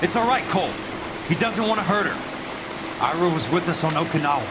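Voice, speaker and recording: Game sergeant, tough and protective, male actor: terse orders, lively inflection, clear watery noise behind. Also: on the high side, fast, lightly acted. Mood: calm, grave. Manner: terse, commanding. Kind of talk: dramatic video game voice acting.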